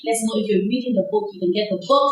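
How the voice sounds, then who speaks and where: A woman hosting a casual panel discussion in a playful, animated way, repeating phrases for emphasis. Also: mid-range voice, fast. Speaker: discussion host. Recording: echoey room, distant microphone.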